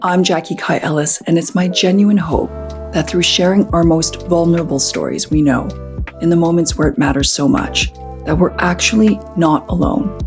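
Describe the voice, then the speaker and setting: A woman hosting a podcast in an earnest, gentle way, her voice a little hoarse from a cold. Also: on the low side, moderate pace, faint music behind. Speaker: podcast host. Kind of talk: podcast conversation and scripted intro.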